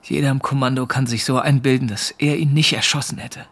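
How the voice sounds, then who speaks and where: An actor playing a weary young German soldier in a radio drama, speaking in a subdued, terse way. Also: male voice, medium pitch, steady tone, brisk, vocal fry, fairly bright tone. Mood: weary, bitter. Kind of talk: radio drama acting, dialogue and narrated thoughts.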